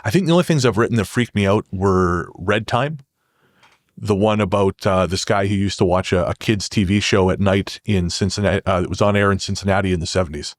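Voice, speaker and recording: Low-voiced man talking in halting bursts. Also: no echo, studio quality. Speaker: podcast guest, horror fiction writer. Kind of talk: casual podcast conversation, storytelling.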